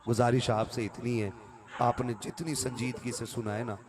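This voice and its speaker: A man preaches an Islamic sermon to a live gathering. His voice sits at a middle pitch. He talks conversationally, slips into devotional verse and urges his listeners to respond. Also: little pitch movement, moderate pace, noisy background.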